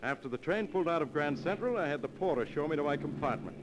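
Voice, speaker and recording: A noisy vintage radio thriller recording carries an actor as a scheming male murderer. His voice sits fairly high and swings with theatrical self-assurance as he coolly gives orders. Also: lively intonation, quick pace, lightly acted. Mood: smug, calculating. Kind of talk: 1940s radio mystery acting.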